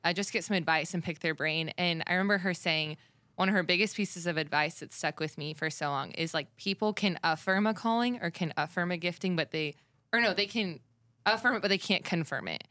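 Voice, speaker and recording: A young woman in ministry speaks reflectively on a podcast. Her voice is very low for a woman, and she shares advice in a thoughtful way. She is recorded on a dry, close mic. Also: brisk, pushed and bright, no room sound. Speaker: ministry worker. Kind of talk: casual chat.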